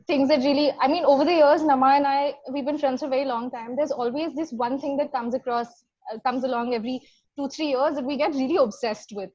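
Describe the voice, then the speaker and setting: A woman chatting casually as a podcast guest, telling stories in an animated way. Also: sits high, no echo. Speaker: podcast guest, fiction podcast creator. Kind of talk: casual chat. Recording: dull, lo-fi close dry audio.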